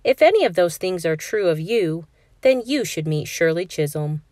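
Brief: woman narrator, lowish voice, dry close mic, calm clear classroom read-aloud. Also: normal speed, no echo. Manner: clear, steady storytelling for kids. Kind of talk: children's picture book read-aloud.

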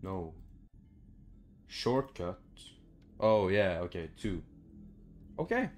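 A man's voice: low voice, sing-song, very slow, a little mellow, faint music behind. A young man giving casual, sweary let's play commentary, muttering to himself as he goes. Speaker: gaming video host. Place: small room indoors at home.

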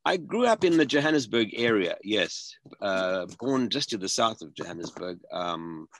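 A man in his seventies from South Africa, a guest on a video call interview, speaking earnestly and halting over repeated starts. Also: on the low side, big pitch swings, fairly bright tone, no room sound. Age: 70s. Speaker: guest filmmaker. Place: home room.

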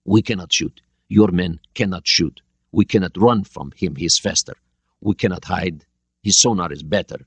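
A man voicing a stern older male Soviet submarine captain who reasons out his plan calmly and deliberately. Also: fairly low pitch, slightly rough, no echo, heavily acted. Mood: calm, calculating. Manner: calm, deliberate, reasoning aloud.

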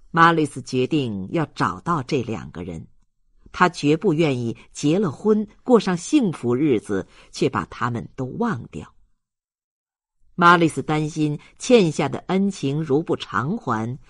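A male audiobook narrator reads literary fiction with lively, varied intonation. His voice is husky and recorded dry and close. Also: on the high side, no room sound. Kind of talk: dramatic literary narration of a novel.

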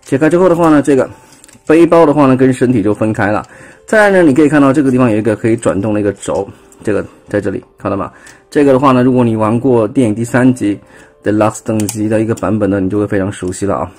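A man's voice: medium pitch, quick pace, darkish tone. A man with a Taiwanese Mandarin accent, casually explaining a toy transformation step by step with many fillers, recorded on a phone at a table indoors. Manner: explanatory, filler-heavy, pointing out parts step by step. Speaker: toy reviewer. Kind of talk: casual hands-on toy demonstration.

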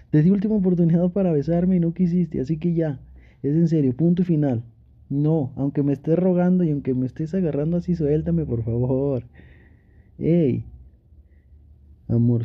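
A man acts out a young boyfriend in an ASMR roleplay, affectionate but pouty. His voice sits fairly high and is husky, coaxing and teasing as if to a partner right beside him. The sound is a little dull. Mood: exasperated. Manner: playful, pleading, sweet-talking with pet names. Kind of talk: Spanish ASMR boyfriend roleplay, intimate one-sided dialogue.

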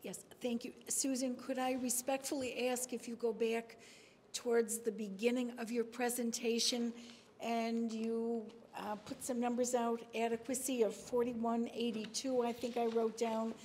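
A woman's voice: a little high, little pitch movement, unhurried, darkish tone, slight background noise. A woman in the audience at a forum, politely and carefully asking a question about figures.

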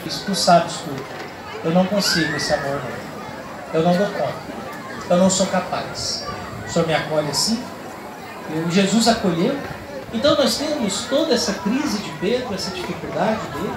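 Brazilian priest preaching under an open-air tent. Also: male voice, high voice, expressive, moderate pace, far from the mic, noisy background. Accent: Brazilian Portuguese. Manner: earnest storytelling, rising to emphatic. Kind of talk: outdoor homily. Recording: roomy sound over a loud crowd.

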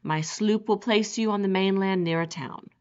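A woman's voice: mid-range voice, moderate pace, a little mellow, close to an everyday voice. A woman voicing a suave, menacing aristocratic general with a genteel, relishing manner, on a dull lo-fi recording. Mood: courtly, menacing.

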